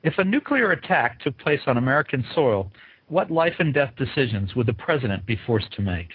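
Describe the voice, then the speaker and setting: Husky male interview host. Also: medium pitch, very animated, a little fast, no room sound, phone-quality sound. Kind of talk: interview, scripted introduction and questions.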